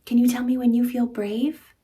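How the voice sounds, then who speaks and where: A woman's voice: on the high side, level tone, moderate pace, dark tone. A woman in her thirties reading a picture book aloud to young children, speaking expressively in simple teacherly phrases and addressing the child directly.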